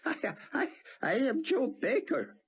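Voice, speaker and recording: A man's voice: high voice, huge pitch swings, measured pace, gravelly, slightly rough, voice pushed up, heavily acted. A man voicing an older male rabbi, giddy and half-breathless with laughter. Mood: laughing.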